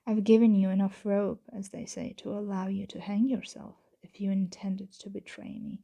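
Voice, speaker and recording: Girl doing a vampire mistress for asmr, super mellow and a bit creaky, hushed whispery talk, possessive but tender. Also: medium pitch, little pitch movement. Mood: hesitant, fond. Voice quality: soft, breathy, often whispered. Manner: slow, hushed, gently commanding, formal wording. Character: possessive, tender female vampire owner addressing her servant. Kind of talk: ASMR roleplay. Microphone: close mic.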